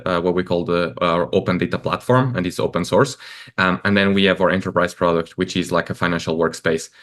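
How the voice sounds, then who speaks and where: Low-voiced man from Portugal. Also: a bit bright. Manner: loose and conversational, many fillers like "like" and "uh". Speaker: guest, startup founder. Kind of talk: casual interview talk.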